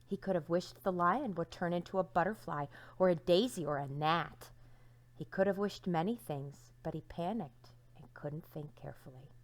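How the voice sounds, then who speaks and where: A woman teacher reading a storybook aloud to young children, with dramatic emphasis and hushed endings to her phrases. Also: on the low side, normal speed.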